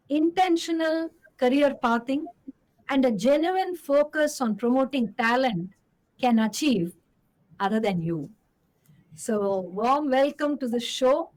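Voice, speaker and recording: A woman's voice: high voice, darkish tone, low-quality recording. A woman with an Indian English accent hosting a podcast, reading a formal intro and then asking her guest questions in an effusive way. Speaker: podcast host.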